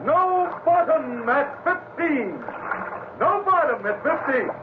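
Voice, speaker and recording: Old tinny radio drama, a rough plain-talking sailor, the skipper's mate. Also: male voice, very high-pitched, lively intonation, slow, background noise, heavily acted. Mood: urgent, calling out. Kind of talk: 1940s radio adventure acting. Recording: tinny, narrow 1940s radio recording.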